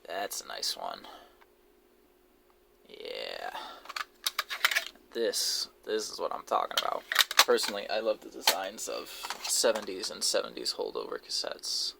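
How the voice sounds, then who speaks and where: A man giving casual, unscripted show-and-tell commentary in a low-key, halting way, as if musing to himself. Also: sing-song, gravelly. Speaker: hobbyist video creator showing a cassette collection. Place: basement, indoors. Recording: noisy amateur audio with clicks and handling noise.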